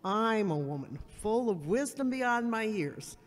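A woman's voice: a little high, lively intonation, unhurried. A woman acting a blunt, haughty woman who gives sardonic advice in an amateur audio drama. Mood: scornful. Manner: brusque, sardonic, superior. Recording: echoey hall with long reverb.

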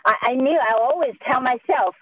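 A woman's voice: high-pitched, moderate pace. A woman with a Sichuan Chinese accent, a native Sichuanese speaker, giving emphatic motivational advice as a real estate agent on a group call. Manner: emphatic, self-affirming. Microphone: video call. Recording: narrow-band phone or video call audio.

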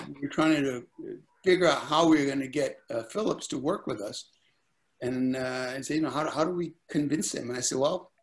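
Older man from Puerto Rico, storytelling. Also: medium pitch. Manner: storytelling, recounting with quoted dialogue. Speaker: co-producer. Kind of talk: casual video call discussion. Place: lamp-lit living room at home. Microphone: webcam on a video call.

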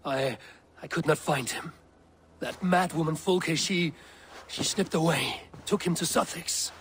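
A man's voice: fairly high pitch, unhurried, fairly bright tone, a little hiss or hum. A man playing a stern, resolute Viking warrior leader burdened by duty, in dramatic video game acting. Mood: distressed urgency.

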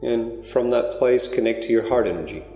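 A man leading a guided meditation in a slow, gentle, drawn-out way. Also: mid-range voice. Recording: roomy reverberant recording with soft music. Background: new-age music.

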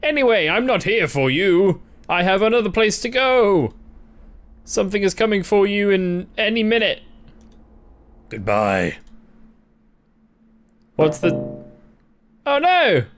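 A man's voice: sits high, sing-song, slow pace, pauses often. A young man doing casual, playful let's-play commentary, reacting and reading game text aloud. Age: young adult. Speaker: gaming video host.

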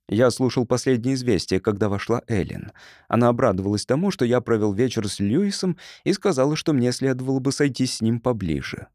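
A man's voice: medium pitch, a little mellow, no room sound, clean studio sound. A man narrating an audiobook, reading a first-person story expressively.

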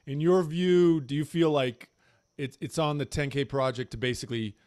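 A man in his forties hosts a panel over a video call, chummy and upbeat, easy with filler words. His voice comes through smooth and resonant on a close desk mic, bone dry with no room sound at all. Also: fairly high pitch, fairly even intonation, normal speed, darkish tone, dry recording. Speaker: panel host. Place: home room with shelves. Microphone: large desk mic on video call.

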